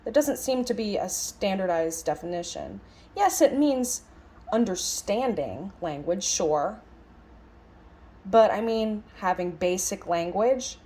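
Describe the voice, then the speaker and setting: A woman's voice: mid-range voice, mellow. A woman interpreting a lecture aloud in a conversational, reflective style, musing with emphatic phrasing and rhetorical questions. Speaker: sign language interpreter voicing a signed lecture.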